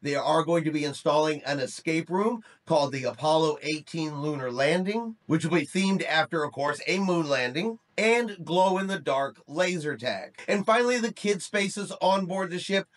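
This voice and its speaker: High-voiced middle-aged man hosting news vlog. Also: animated, moderate pace, hardly any pauses, poor audio. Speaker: host of a cruise news channel. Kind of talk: news update to camera, conversational. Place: small room indoors.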